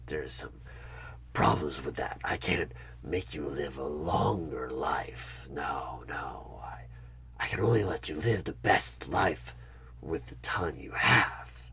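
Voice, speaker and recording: Evil tempter, extremely low pitch, very husky and breathy, menacing, smug, horror ASMR roleplay, dramatic pauses. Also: male voice, lively intonation, pushed and bright, exaggerated voice. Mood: coolly menacing. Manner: slow, deliberate, dramatic pauses, often dropping into whispers.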